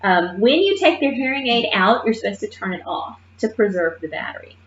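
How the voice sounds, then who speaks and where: A woman gives a classroom lecture to nursing students, speaking in a lively, emphatic way and pausing often to make sure her listeners follow. The recording is muffled and roomy, with a faint hiss behind her voice. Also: medium pitch. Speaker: nursing assistant instructor.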